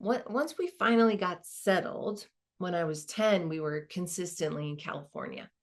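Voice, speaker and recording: A woman's voice: fairly low pitch, normal speed, natural voice. A woman playing a reflective, wry lawyer in a conversational stage monologue about her own life. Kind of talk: dramatized first-person monologue, conversational.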